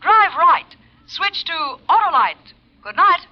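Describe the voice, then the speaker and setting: High-pitched woman in vintage radio advert. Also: sing-song, takes it slowly. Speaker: sponsor advertisement voice. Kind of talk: scripted 1940s radio advertising. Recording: muffled old radio recording.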